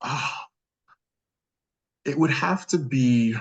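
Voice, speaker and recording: A man's voice: mid-range voice, very slow, slightly rough, lo-fi sound. A man, a freelance voice actor, answering questions in a casual interview, talking effusively and appreciatively in long, rambling answers.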